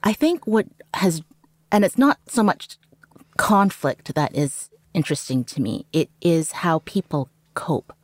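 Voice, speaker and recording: Middle-aged Canadian woman, Cantonese first language; low, dry and reflective. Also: very animated, a little slow, no echo. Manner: reflective, frequent "you know". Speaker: journalist guest. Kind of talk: interview conversation.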